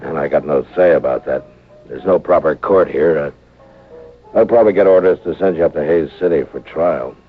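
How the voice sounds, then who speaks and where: An actor playing a steady, laconic frontier marshal in a radio western, with a plain-spoken, dry, unflappable manner. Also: male voice, rumbling low, a little fast, husky. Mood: reserved, gentle. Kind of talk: 1950s radio western acting. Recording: tinny vintage radio recording, music behind.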